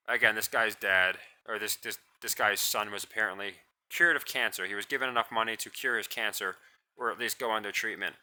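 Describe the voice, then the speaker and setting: A man ranting in a blunt, scornful way in a solo commentary, rambling and restarting his sentences. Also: fairly low pitch. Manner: describes own voice as monotonous. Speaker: commentary channel host.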